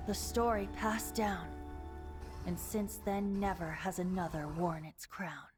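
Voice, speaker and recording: A voice actor playing a youthful, playful wind spirit bard who tells old stories and recites rhymes. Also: male voice, high-pitched, fairly even intonation, unhurried, over music. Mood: recital. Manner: light, storytelling, rhyming recital.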